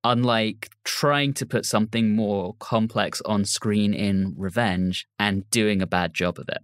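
A British man hosting a podcast, giving his review and chatting in a measured, articulate way. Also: on the low side, steady tone, dry recording. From Britain. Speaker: podcast host.

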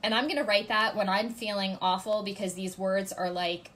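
A woman in her thirties with a congested voice, giving casual writing tips to camera from her home office, chatty and full of ums and quick check-ins. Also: mid-range voice, steady tone, a bit bright. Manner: chatty, gestures a lot, many ums and "okay?" check-ins. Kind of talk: casual instructional vlog to camera. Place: home office with ceiling fan, indoors.

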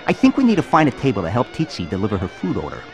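A man playing an eager, chummy little bug sidekick with a raspy, gravelly voice in a children's computer game. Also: lowish voice, huge pitch swings, very fast, over music.

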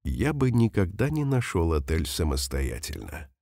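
Low gravelly guy reading lesson sentences, swoopy lively intonation, dry close sound. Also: moderate pace, dry recording. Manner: careful, clearly separated delivery, each sentence said twice. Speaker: language teacher reading example sentences. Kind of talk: slow repeated phrase reading for learners.

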